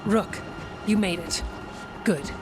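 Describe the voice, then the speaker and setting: A woman's voice: mid-range voice, slow pace, music in the background, natural voice. A woman playing a grave, battle-worn military officer in a fantasy video game. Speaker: video game character actor. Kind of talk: dramatic fantasy game dialogue.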